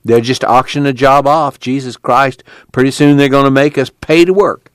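A man voicing a bitter, weary male migrant farmhand with a rough, folksy rural drawl. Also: mid-range voice, steady tone, moderate pace, dry recording, clean studio sound, voice pushed up, lightly acted.

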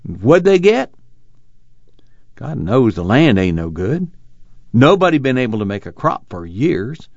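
A man's voice: mid-range voice, sing-song, a little slow, pauses often, no room sound, muffled recording. A man voicing an embittered, haunted rural loner, ranting irritably in rustic dialect in an audiobook novel. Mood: bitter, anguished. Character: embittered, agitated rural man, haunted and lonely, grieving lost land.

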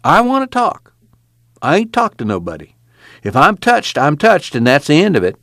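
A man's voice: fairly high pitch, wildly swooping pitch, normal speed, fairly bright tone, no room sound, clean studio sound, voice pushed up, full character voice. A man voicing a lonely, bitter older farmer who talks in a raw, pleading way that rises to anger.

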